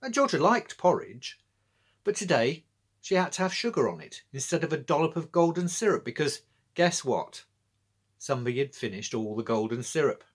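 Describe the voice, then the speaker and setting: A man's voice: fairly high pitch, big pitch swings, normal speed, breathy edge. A middle-aged British man narrating a children's story as a storyteller. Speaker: author and storyteller. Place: small indoor room with bookshelves.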